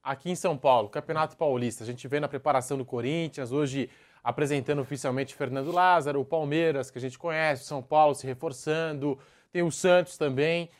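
Sports host guy, slightly high voice, steady, throws questions at the panel. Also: a little fast. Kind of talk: studio sports talk.

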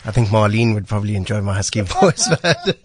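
A man, a mountain guide talking on a radio show in studio, his voice husky from a cold, relaxed with frequent ums. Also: fairly low pitch, moderate pace. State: husky voice from illness. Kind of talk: casual radio chat.

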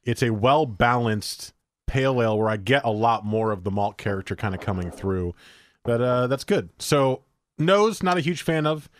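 A man's voice: medium pitch, expressive, moderate pace, no room sound. A man co-hosting a casual beer-tasting chat, rambling and joking, with a stuffy nose. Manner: rambling, self-correcting, joking. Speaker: podcast co-host.

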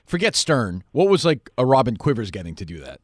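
A man's voice: medium pitch, big pitch swings, a little fast, no echo, studio quality. A man hosting a podcast, asking his guest conversational follow-up questions. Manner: probing, asks follow-ups. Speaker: podcast host. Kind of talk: conversational interview.

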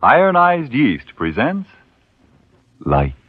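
A man's voice: mid-range voice, wildly swooping pitch, slow pace, no room sound, highs cut off, soft background music. A man announcing a program dramatically in 1940s radio style, on an old broadcast recording.

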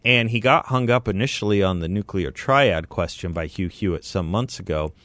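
Low-voiced radio host, dry studio. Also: male voice, normal speed, fairly bright tone, dry recording. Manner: conversational, self-correcting, reads ads with enthusiasm. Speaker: radio talk show host. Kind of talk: casual radio talk and live ad read. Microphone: studio microphone.

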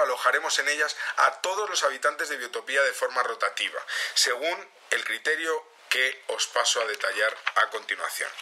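Male security chief, audio drama, earnest, official, deliberate, sharp tense tone, raspy, medium pitch. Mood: firm, official. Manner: official, deliberate, repeating phrases as he announces. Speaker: head of security. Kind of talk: press conference statement in audio drama.

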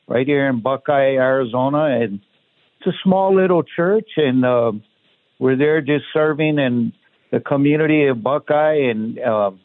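A man's voice: mid-range voice, no echo. Hawaiian man on a tinny phone line. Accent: Hawaiian pidgin. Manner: relaxed, many fillers like "you know". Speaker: guest. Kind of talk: casual interview talk.

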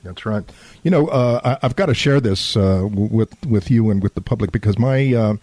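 A man from San Antonio with a Texan accent, hosting a conservative talk radio show, halting and repeating words with many fillers. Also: on the low side, a little mellow, dry recording. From San Antonio, Texas.

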